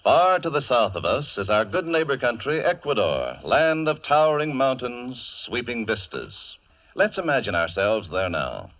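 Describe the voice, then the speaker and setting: A man's voice: medium pitch, big pitch swings, normal speed. A man announcing a radio commercial in polished, emphatic 1940s advertising style. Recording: muffled vintage radio broadcast.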